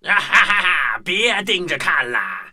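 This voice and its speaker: A woman voicing a rude, jealous male jack-in-the-box toy in a children's audio drama, with slow, menacing, gloating delivery. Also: fairly low pitch, big pitch swings. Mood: mocking. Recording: loud music over the voice.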